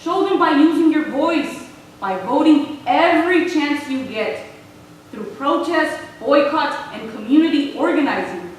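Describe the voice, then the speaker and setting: A woman playing a wise, steadfast Mexican American grandmother in a staged reading, speaking in measured, earnest English mixed with Spanish. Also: sits high, animated. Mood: urging. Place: theater stage, recorded from the audience. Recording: echoey hall from far off, faint noise.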